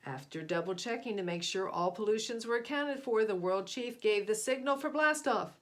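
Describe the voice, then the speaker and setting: A woman's voice: medium pitch, lively intonation, normal speed, a bit bright. A woman narrating a children's picture book aloud in a dramatic, emphatic way. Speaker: storybook reader.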